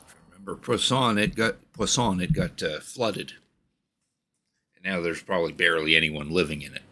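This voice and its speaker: A man's voice: low voice, moderate pace, pushed and bright, a little hiss or hum. A man streaming a video game, musing aloud in casual, offhand commentary.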